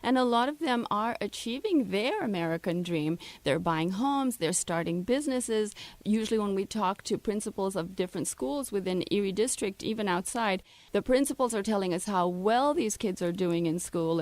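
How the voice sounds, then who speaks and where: A woman's voice: medium pitch, lively intonation, normal speed, a little mellow, no room sound. A woman speaking as an interview guest, explaining things in an articulate and earnest way. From grew up in Russia, Egypt, Canada and Brazil. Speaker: interview guest from a multicultural center. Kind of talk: radio interview, explanatory conversation.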